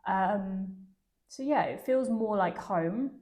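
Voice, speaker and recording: A woman's voice: medium pitch, slow pace, darkish tone. A young woman with an English accent, chatting easily as an interview guest, laughing often and using lots of fillers. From England. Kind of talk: casual interview chat.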